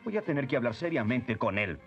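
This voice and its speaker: A very animated stern mentor, Latin American. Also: male voice, mid-range voice, a little fast, dull audio, loud music behind the voice. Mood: dismayed. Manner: dramatic, stern. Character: exasperated, stern middle-aged male mentor. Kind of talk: cartoon dub acting.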